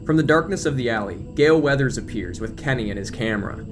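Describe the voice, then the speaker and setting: A male narrator reads a scripted audio drama in the present tense, setting scenes and describing action. His voice is mid-pitched, and music plays clearly behind him. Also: lively intonation, fast talker.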